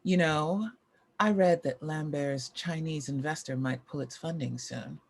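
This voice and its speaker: Gravelly, sardonic woman former child star, acted. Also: low-pitched, unhurried, exaggerated voice. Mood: cool menace. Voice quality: gravelly, husky.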